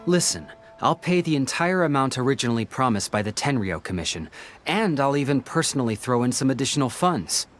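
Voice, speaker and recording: An actor playing an earnest, polite young male detective's assistant in a video game, with an expressive, pleading manner that is easily exasperated. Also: mid-range voice, a little fast. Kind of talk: English video game voice acting. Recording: background music under the dialogue.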